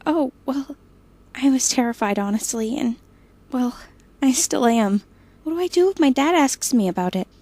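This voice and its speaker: An actress playing an anxious young woman in an audio drama, hesitant and halting in her speech. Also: high-pitched, lively intonation, mellow. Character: anxious young woman Ophelia, police chief's daughter, pleading.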